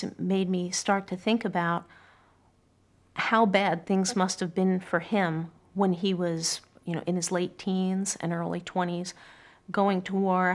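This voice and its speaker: A middle-aged woman with a fairly low voice and a noticeable creak. She speaks earnestly and thoughtfully, in the reflective manner of someone sharing something personal in a quiet room. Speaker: poetry reader telling family memories. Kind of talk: reflective personal storytelling and poem reading. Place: quiet furnished room indoors.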